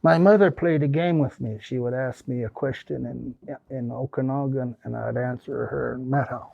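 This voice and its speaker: A man's voice: mid-range voice, steady tone, moderate pace, gravelly, dry recording, narrow, tinny audio. An older man from the Pacific Northwest telling folksy, rambling stories to an audience as a guest speaker. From Pacific Northwest, United States. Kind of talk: storytelling talk with audience questions. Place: small hall with audience.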